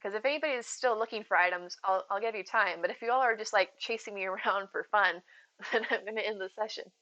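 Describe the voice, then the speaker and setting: Bright-voiced woman chatting on stream. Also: mid-range voice, fast, muffled recording. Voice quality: soothing voice. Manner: chatty, rambling, giggly, addresses chat by name. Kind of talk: casual live-stream chat.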